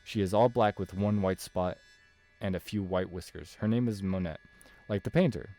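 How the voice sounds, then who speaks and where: A man's voice: low-pitched, normal speed, dark tone, soft background music. A man voicing an eager, kindly young girl who loves animals, in a visual novel read-aloud. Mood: sweet, confiding.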